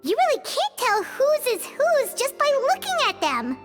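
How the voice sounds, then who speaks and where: Squeaky, very high-pitched little fairy companion. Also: female voice, huge pitch swings, measured pace, music in the background, heavily acted. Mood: surprised, relieved. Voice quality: squeaky, childlike. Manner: exclamatory, speaks in third person. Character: tiny childlike fairy companion, loyal, indignant, outspoken. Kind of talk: video game voice acting.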